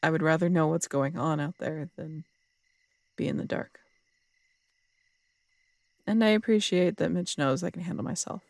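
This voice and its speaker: A woman playing a tough, strong-headed city detective in an intimate audio roleplay, husky and gravelly, telling things in a wry, unhurried, conversational way. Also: sits low, a little mellow, no echo. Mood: frank, fond. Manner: wry, unhurried storytelling with casual swearing.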